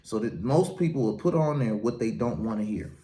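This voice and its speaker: A man's voice: lowish voice, animated, fairly quick, mellow, a little hiss or hum. A man who works as a wedding and event DJ, hosting his own show and giving casual, confident advice with a strong, projecting voice. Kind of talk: casual advice talk to camera.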